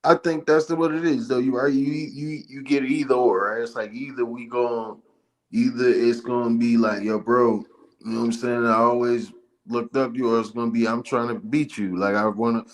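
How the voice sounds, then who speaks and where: A man in his forties, co-hosting a casual boxing chat, talking in a profane, slangy, animated way and acting out what others might say. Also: medium pitch, normal speed, rough recording. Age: 40s. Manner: acts out quoted remarks. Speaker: podcast co-host.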